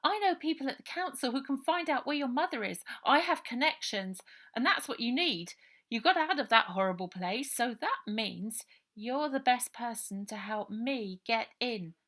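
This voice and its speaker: A woman's voice: a little high, lively intonation, moderate pace, fairly bright tone, voice pushed up. A woman voicing an insistent, earnest young girl in a 1930s children's storybook. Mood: persuasive. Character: determined, persuasive young girl of 1930s England.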